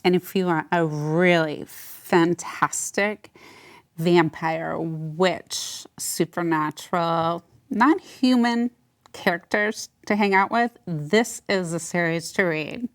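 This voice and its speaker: A woman's voice: fairly low pitch, takes it slowly. A woman hosting a casual interview, eager and full of praise. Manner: enthusiastic, gushing, warm praise. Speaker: interview host.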